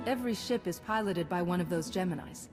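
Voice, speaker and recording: A woman playing a resolute, composed alliance commander in a video game, earnest and measured. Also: lowish voice, close to an everyday voice. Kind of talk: video game cutscene acting. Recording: game music behind the voice.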